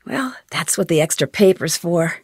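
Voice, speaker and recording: A woman with an American accent playing a plucky, curious teenage girl detective in a video game, thinking aloud in a clear, chipper way. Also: fairly low pitch, animated, moderate pace, a little mellow, no echo, studio quality. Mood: thoughtful, determined. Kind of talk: scripted video game voice lines.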